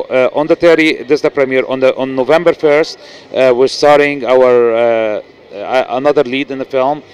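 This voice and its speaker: Lebanese man, earnest and halting. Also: medium pitch, a little mellow, clean tone. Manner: earnest, halting with many restarts and fillers. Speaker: actor, interview guest. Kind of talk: casual interview. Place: noisy indoor event venue. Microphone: handheld mic.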